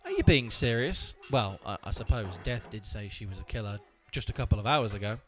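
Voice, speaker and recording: A man's voice: lowish voice, lively intonation, fairly quick, soft background music, lightly acted. A man playing an exasperated, stingy, bossy straight man with a dry, curt manner in an amateur audio comedy.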